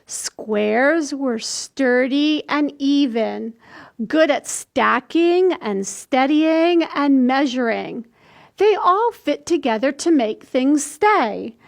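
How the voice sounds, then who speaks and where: An older woman in her sixties hosting a children's storytime, reading aloud and explaining things simply and deliberately for small children. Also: sits high, expressive, creaky. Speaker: library storytime host. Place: small indoor room.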